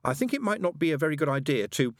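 A man's voice: a little high, sing-song, quick pace, dry recording, clean studio sound, pitched above the performer's own voice. A man voicing a fussy, polite angel bookseller with a prim, hesitant manner in a storybook reading. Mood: anxious.

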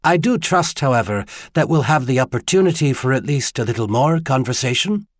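Gallant tenor gentleman, voiced by a male narrator. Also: medium pitch, animated, fairly quick, no echo, studio quality, natural voice. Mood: gallant, amused. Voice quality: pleasant tenor. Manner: gallant, courtly, polite. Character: charming, gallant, well-dressed gentleman visitor, faintly impish. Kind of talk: audiobook character dialogue.

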